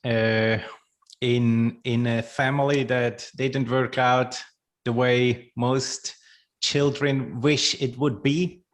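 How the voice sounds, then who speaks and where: A middle-aged man from Switzerland, a podcast guest, speaking slowly and haltingly with many restarts; his is not English. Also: medium pitch, flat delivery. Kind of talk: podcast interview.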